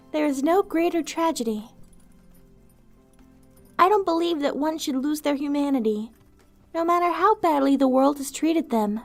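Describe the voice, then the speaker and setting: A woman voicing a gentle, weary female vampire outcast in an ASMR roleplay, soft-spoken, wistful and earnest, with formal wording. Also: high voice.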